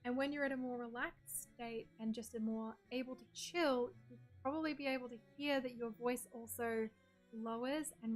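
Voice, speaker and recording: Soft-voiced Australian woman streamer. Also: fairly high pitch. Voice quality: soft, breathy voice. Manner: chatty, reads chat messages aloud and answers them. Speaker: game streamer. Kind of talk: casual chat with stream viewers.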